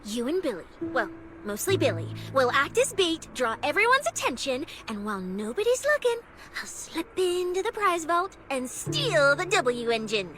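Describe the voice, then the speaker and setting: A woman playing a cheeky, food-loving young snake-woman security officer in a video game, playful and expressive, secretly caring. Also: high voice, normal speed, a little hiss or hum. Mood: teasing, earnest.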